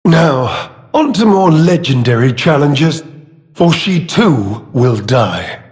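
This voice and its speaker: Slow, pompous game villain. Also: male voice, fairly high pitch, sing-song, a little mellow. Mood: menacing. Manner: pompous, theatrical, declaiming. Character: grandiose, deluded male villain craving glory. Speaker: voice actor. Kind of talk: theatrical video game villain acting.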